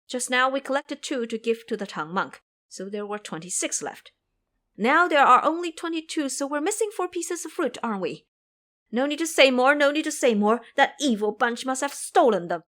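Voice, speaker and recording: A woman voicing an excitable young Taoist boy attendant who talks in an alarmed, rapid way. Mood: agitated. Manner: alarmed, quick, high.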